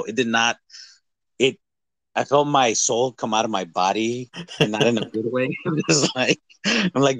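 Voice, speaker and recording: A man co-hosting a podcast in casual movie chat, rambling in an animated, hyperbolic way. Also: lowish voice, no room sound. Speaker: podcast co-host.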